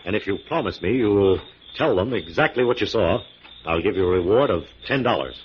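Man playing a deep-voiced resolute radio hero. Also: animated, fairly quick, natural voice. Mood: assured, questioning. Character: confident, resolute crime-fighting hero. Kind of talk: 1940s radio acting. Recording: muffled, noisy 1940s radio recording.

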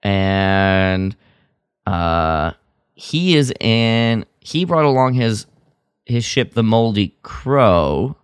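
Slow-talking man, low clear voice. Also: expressive, dry recording, studio quality. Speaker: podcast co-host. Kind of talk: casual chat recapping a story.

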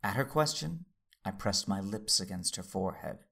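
Composed Jedi master, male, low gravelly voice, husky, measured, tender, sci-fi audio drama narration. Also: lively intonation, sharp tone, full character voice. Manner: measured, formal, unruffled. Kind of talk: first-person dramatic narration.

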